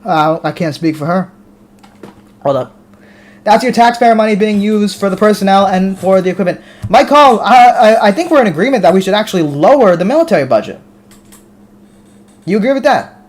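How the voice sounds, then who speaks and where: A man's voice: high-pitched, animated, brisk, long pauses. An American man hosting a live stream, reading viewer comments aloud and talking back to them casually, often restarting his words. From United States. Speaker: livestream host reading chat.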